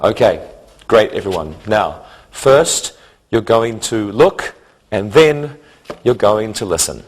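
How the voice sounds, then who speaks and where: A man teaching a scripted English lesson for beginners in a deliberate, instructional way. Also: on the low side, lively intonation, a little hiss or hum, low-quality recording.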